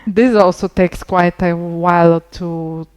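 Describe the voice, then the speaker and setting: A woman in her thirties from Bulgaria, speaking English as a podcast guest, unhurried, with frequent pauses and restarts. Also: lowish voice, steady tone, darkish tone, clean tone. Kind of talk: casual interview conversation.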